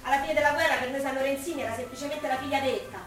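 A woman's voice: sits high, steady tone, rapid-fire, over music, natural voice. An actress playing a passionate working-class Roman woman of the wartime forties in an Italian stage drama. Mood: sorrowful, narrating. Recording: echoey theatre, distant mic, faint noise.

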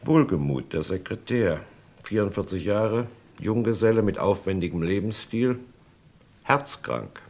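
A man's voice: on the low side, animated, a little slow, a little mellow. A man playing a cool, matter-of-fact hired agent in a German radio crime drama, speaking in a dry, laconic, slangy way.

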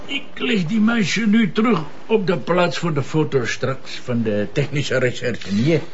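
A man's voice: on the high side, a little slow, faint music behind, close to an everyday voice. An actor playing a Polish male coroner with a Polish accent who speaks broken Flemish with blunt cheerfulness about corpses. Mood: matter-of-fact. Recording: dull lo-fi radio play.

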